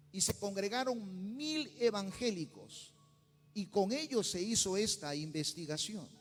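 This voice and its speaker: A man's voice: high-pitched, long reverb tail. A male pastor with a Peruvian Spanish accent, preaching a sermon with rising intensity and bursts of speaking in tongues. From Peru. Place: church sanctuary.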